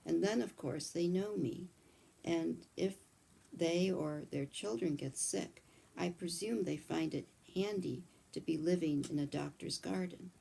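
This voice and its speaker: A woman's voice: on the low side, unhurried, gravelly, breathy edge, heavily acted, poor audio. A woman reading as a kindly old country doctor. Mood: fond. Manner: calm, chatty storybook read-aloud.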